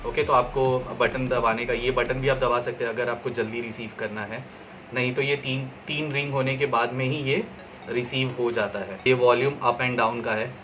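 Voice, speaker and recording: A man presents a casual product review in a bright, forceful mid-range voice. He explains things step by step and slips English phrases into his sentences. Music plays behind him. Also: mid-range voice, steady tone, normal speed. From India.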